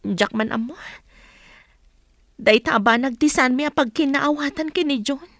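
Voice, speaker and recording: High-voiced, upset young woman. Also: moderate pace, fairly bright tone, no echo, clean studio sound. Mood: upset, pleading. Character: upset young woman, headstrong and hurt, pressing for marriage. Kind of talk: radio drama acting.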